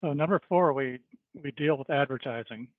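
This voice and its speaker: A man's voice: medium pitch, normal speed, dry recording. A man speaking hesitantly at a committee meeting, often restarting his sentences, heard over a video call. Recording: dry tinny phone-like line.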